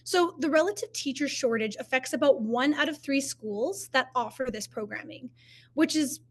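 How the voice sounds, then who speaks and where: A young woman from Canada, speaking in a somewhat high register, gives an online conference talk on her research. Her delivery is informal and earnest, full of ums and restarts. She speaks through a computer mic on a video call. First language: English. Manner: informal and earnest, many fillers and self-corrections. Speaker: master's student presenting research.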